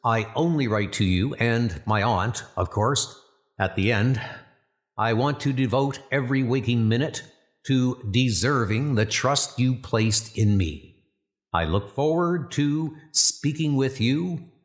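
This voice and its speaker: An actor voicing an earnest, dutiful young secret service trainee, narrating his letters in a measured, formal way. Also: medium pitch, lively intonation. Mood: earnest, sober. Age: young adult. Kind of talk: letter read as narration in a comic dub.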